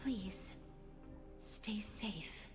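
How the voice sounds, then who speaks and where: A woman playing a gentle, solemn young woman in a fantasy video game, speaking formally in a hushed, measured way. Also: mid-range voice. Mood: grave, cautioning. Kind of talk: scripted video game voice acting. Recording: reverberant game audio with music.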